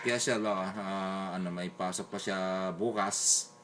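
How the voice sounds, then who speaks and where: Low-pitched Filipino man chatting over radio. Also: fairly even intonation, takes it slowly, background noise. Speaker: amateur radio operator. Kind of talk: casual two-way radio chat. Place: beside a radio set, recording it on a phone.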